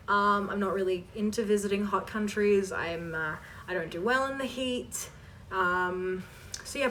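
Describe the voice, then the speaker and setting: A woman's voice: medium pitch, fairly bright tone, far from the mic, a little hiss or hum. A woman hosting a casual question-and-answer chat for her viewers, informal and emphatic as she reads questions aloud and answers them.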